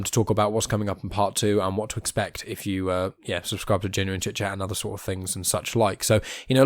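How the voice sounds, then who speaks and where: A man's voice: fairly low pitch, darkish tone, dry recording. A man hosting a podcast, talking in an informal, chatty, rambling way. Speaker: podcast host. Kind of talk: casual rambling podcast chat.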